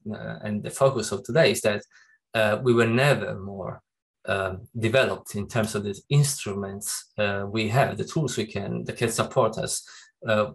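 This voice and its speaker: A man in his fifties with an Italian accent, moderating a webinar panel in a conversational, halting way over a video call. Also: fairly low pitch, gravelly, slightly rough. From Italy. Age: 50s. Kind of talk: introducing a panel discussion, semi-formal and conversational. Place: music room at home.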